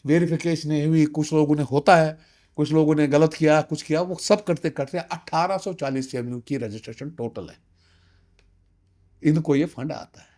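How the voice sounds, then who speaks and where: A man's voice: fairly high pitch, a little mellow. A man of about sixty, giving emphatic interview testimony and slipping in English phrases. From Kashmir, India. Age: around 60. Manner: emphatic, insistent, uses rhetorical questions. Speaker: interviewee, community representative.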